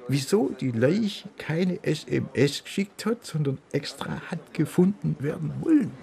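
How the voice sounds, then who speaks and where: Dry wry detective in a radio drama, gravelly, lightish voice, halting delivery. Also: male voice, fairly high pitch, very animated, mellow, heavily acted. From southern Germany. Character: dry, wry German police inspector and singer. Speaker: actor in a radio play. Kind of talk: German radio crime drama dialogue.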